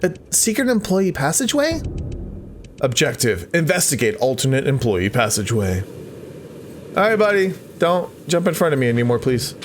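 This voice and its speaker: A man's voice: on the high side, sing-song, moderate pace, a little hiss or hum. A man hosting a let's play, chatting to the game characters and reading game text aloud.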